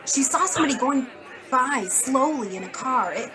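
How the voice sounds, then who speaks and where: An actress playing a brisk, blunt, wisecracking female editor in a hard-boiled radio drama. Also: high voice, lively intonation, crowd noise, low-quality recording. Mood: brisk, worried. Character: brisk, sardonic, worried female magazine editor.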